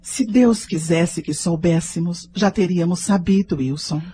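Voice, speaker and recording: A woman's voice: on the low side, a little slow, mellow, lightly acted. An actress playing a worried, devout middle-aged mother in a radio soap opera. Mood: worried, resigned. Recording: noisy radio drama recording with background ambience.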